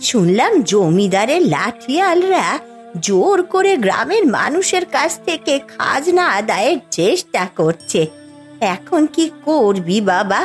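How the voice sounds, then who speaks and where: A woman playing a poor, loving old village mother in an animated folk tale, plaintive and anxious. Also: a little high, a little slow, faint music behind. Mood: worried. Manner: plaintive, pleading.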